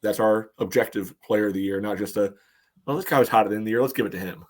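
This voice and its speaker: A man with a somewhat low voice co-hosts a casual soccer show. He talks conversationally and moderates, setting up segments and questions for the others. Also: fast talker, a little mellow. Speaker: soccer fan podcast co-host.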